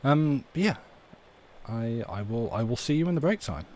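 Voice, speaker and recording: A man's voice: mid-range voice, lively intonation, normal speed, darkish tone, a little hiss or hum. A man hosting a live stream in casual chat, tentative and rambling, often interrupting himself. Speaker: livestream host.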